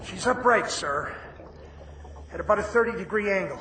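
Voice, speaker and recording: A man's voice: high-pitched, expressive, normal speed, echoey room, background noise. A man playing a plucky, dutiful Navy diver in a radio drama, plain-spoken and respectful as he reports. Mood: tense, focused.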